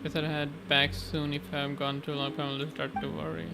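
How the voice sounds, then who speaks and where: A man's voice: fairly high pitch, completely flat delivery, normal speed, gravelly. A man playing a game on stream, casually reading dialogue aloud and commenting, sometimes stumbling over the words. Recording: noticeable background noise.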